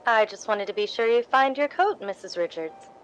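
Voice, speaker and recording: A humble, grateful young ingénue from a 1950s radio play, acted by a woman. Her voice sits fairly high and she speaks hesitantly, with eager, ingratiating earnestness. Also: expressive, moderate pace, soft background music, natural voice, poor audio. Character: meek, grateful, ingratiating young theatre understudy. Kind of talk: 1950s radio drama acting.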